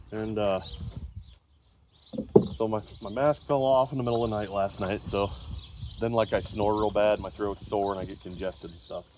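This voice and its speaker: A man's voice: fairly low pitch, darkish tone, slight background noise. A man chatting in a rambling, folksy way while he works on an old engine, sounding congested. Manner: rambling, folksy, self-deprecating. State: congested, clearing throat. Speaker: small engine repair vlogger. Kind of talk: casual talk while working.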